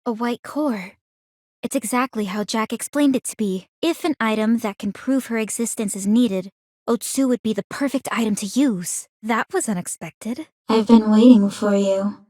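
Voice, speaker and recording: An actress voicing a calm, clinically curious young woman in a video game, speaking in a formal, measured, coolly teasing way. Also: fairly high pitch, no echo, clean studio sound, close to an everyday voice. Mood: cool, inquisitive. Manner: composed, measured, formal, inquisitive. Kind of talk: scripted video game character voice lines.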